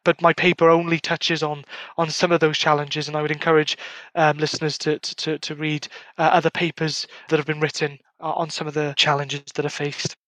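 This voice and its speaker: A man's voice: on the high side, flat delivery, a little fast, bright, forceful tone, no echo, rough recording. A man being interviewed about his research as a former lecturer, speaking thoughtfully with frequent restarts and repeated words. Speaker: guest academic, former Welsh lecturer. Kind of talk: academic podcast interview.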